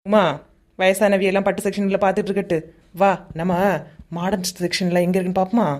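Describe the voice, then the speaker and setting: A woman's voice: mid-range voice, level tone, a little fast, darkish tone, close to an everyday voice. A woman voicing a cheeky, bossy young village woman in a cartoon, with a Kanyakumari Tamil accent and a teasing manner. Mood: teasing, impatient. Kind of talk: cartoon comedy dialogue.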